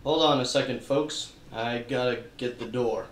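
A man's voice: medium pitch, normal speed, roomy sound, noisy background. A man narrating and acting as a wisecracking detective in a church radio play. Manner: wisecracking, hard-boiled narration.

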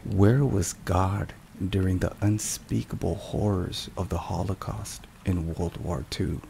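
A man giving a scripted, solemn ASMR devotional narration in a soft, measured and deliberate way. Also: deep voice, vocal fry. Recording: steady rain and thunderstorm noise. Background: rain and thunder.

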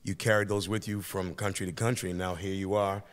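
An older man hosts a school podcast from a small studio, his voice sitting very low. He talks to his student guest in long, reflective, encouraging sentences. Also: level tone, brisk. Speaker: teacher and podcast host. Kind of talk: interviewing a student. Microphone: boom-arm studio mic.

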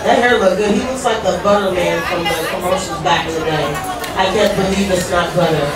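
A woman's voice: fairly low pitch, brisk, a loud crowd. A woman with a Texan accent hosting a lounge game event, sassy and joking. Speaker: event host. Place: large lounge on a cruise ship. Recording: distant voice over speakers in a roomy lounge.

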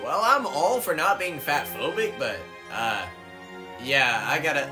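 A man's voice: on the high side, very animated, unhurried. A man voicing a laid-back, wisecracking young hedgehog hero in an improvised game fan dub, casual and joking. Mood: wry. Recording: game music behind.

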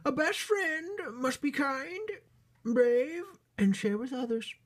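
A reader voicing a gentle, regal mother rabbit queen in a children's storybook, with a sing-song delivery. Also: high-pitched, expressive, slow, pitched above the performer's own voice. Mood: gently encouraging.

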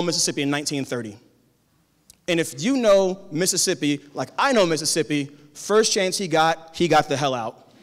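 Man giving a keynote in a reverberant hall. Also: a little high. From Tacoma, Washington. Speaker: keynote speaker, high school teacher. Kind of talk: conference keynote talk. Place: large conference hall on stage. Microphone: handheld cordless mic.

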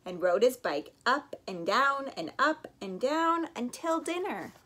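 A woman's voice: a little high, a little slow. A young adult woman reading a children's storybook aloud expressively, acting out sound effects. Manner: animated, with acted sound effects. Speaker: story time reader. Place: bedroom, indoors.

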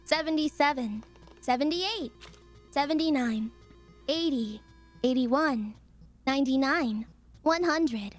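A woman's voice: high voice, slow pace, pauses often, soft background music. A woman chatting casually and delivering short voice lines as a performer.

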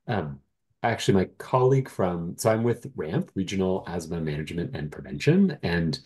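A man's voice: low voice, sing-song, moderate pace, darkish tone. A man with a stuffy nose from a cold, giving a hesitant, apologetic presentation over a video call. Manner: apologetic, hesitant with many ums. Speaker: nonprofit presenter. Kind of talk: meeting presentation.

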